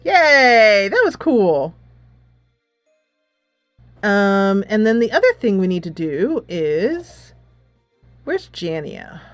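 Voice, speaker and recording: A woman hosting a gaming channel, giving casual, expressive playthrough commentary with a giggly manner in a quiet room. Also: mid-range voice, drawn-out words, long pauses, no echo. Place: quiet room, voice-over on gameplay. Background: soft game music.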